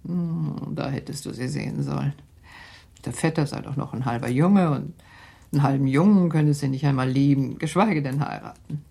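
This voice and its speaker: A shrewd aristocratic mother in a radio drama, her dark, gravelly voice slow and measured. She speaks in confiding tones and sometimes drops to a hushed whisper. The voice is deeply husky throughout. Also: full character voice. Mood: worried. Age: middle-aged. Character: worried aristocratic mother, middle-aged, shrewd. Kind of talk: 1960s German radio drama acting.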